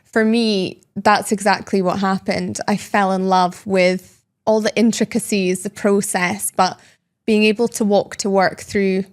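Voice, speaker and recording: Scottish woman in her 30s, podcast guest, lively and descriptive, mid-pitched voice. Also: a little slow. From Scotland, Speyside. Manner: enthusiastic, descriptive storyteller. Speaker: brand education guest. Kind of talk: casual podcast interview.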